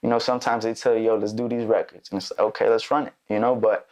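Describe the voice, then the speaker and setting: A man's voice: fairly low pitch, fast. Laid-back young man, rambling studio chat. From Mexico, raised in Chicago. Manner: relaxed, rambling, many fillers and restarts. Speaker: musician guest. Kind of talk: casual interview chat.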